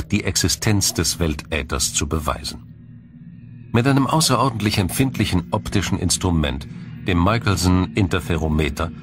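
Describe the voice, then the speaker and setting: A man narrating a scripted science documentary in a measured, formal, explanatory manner. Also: low voice.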